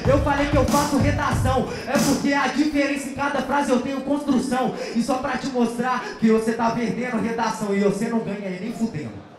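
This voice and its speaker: A man's voice: extremely high pitch, brisk, hardly any pauses, over music. A man freestyling in a rap battle, delivering rhythmic insults into a handheld mic on an outdoor stage. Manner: rhythmic, insulting, rapping on the beat. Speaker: battle rapper. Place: outdoor stage under an overpass, big crowd. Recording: echoey outdoor PA over a loud beat.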